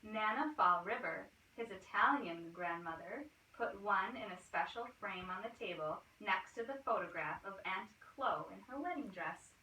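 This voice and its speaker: A woman's voice: mid-range voice, lively intonation, moderate pace, hardly any pauses, bright, forceful tone. A woman reading a picture book aloud to children like a teacher, expressively, with small asides and questions to her listeners. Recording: roomy, distant mic, clear noise and clicks.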